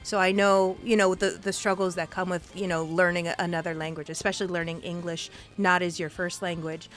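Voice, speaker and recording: A woman's voice: lowish voice, little pitch movement, fairly quick. A woman from Puerto Rico whose first language is Spanish, hosting a live interview in an online game with an upbeat, encouraging manner and lots of fillers. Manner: upbeat, encouraging, laughs often, many fillers. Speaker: interviewer, show host.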